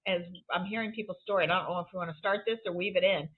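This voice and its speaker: A woman's voice: lowish voice, racing, slightly rough. A woman in her sixties hosting a podcast, upbeat and chatty, rambling a little as she talks. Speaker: podcast host. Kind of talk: conversational podcast interview. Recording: tinny phone-like line.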